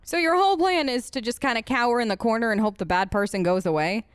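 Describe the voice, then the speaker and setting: A woman's voice: on the high side, fast talker, clear, resonant tone, no room sound, clean studio sound. A woman doing casual, improvised role-play commentary as host of a game let's play, informal and outspoken.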